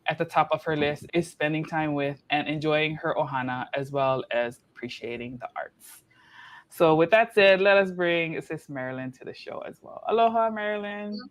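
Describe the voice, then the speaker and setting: A man's voice: on the high side, normal speed, fairly bright tone, smooth. A man hosting a podcast panel, introducing guests in a chatty, upbeat way and sprinkling in Hawaiian words. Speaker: podcast host.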